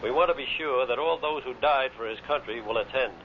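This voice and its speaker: A man's voice: mid-range voice, brisk. A man working as a radio announcer, reading in a vintage broadcast style. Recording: muffled old radio recording, faint noise.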